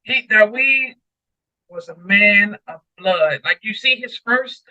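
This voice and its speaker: Guy with a bright forceful high voice, hammering phrases over again, casual bible chat, bit dull sounding mic. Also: lively intonation, takes it slowly, no room sound. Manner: emphatic, repeats phrases for weight. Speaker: co-host of a Bible read-along discussion. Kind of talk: casual scripture discussion.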